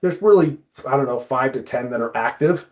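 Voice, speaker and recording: A man's voice: medium pitch, brisk. Man explaining informally on slightly dull audio. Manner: conversational, explanatory. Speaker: presenter.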